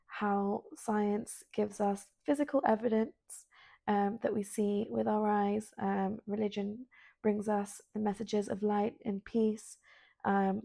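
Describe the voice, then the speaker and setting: A woman born in London with Spanish as her first language, hosting a solo spiritual podcast in a soft, hesitant, earnest way with many ums. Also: medium pitch, gravelly, no echo. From Colombian, born in London. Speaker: podcast host. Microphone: self-filmed at home.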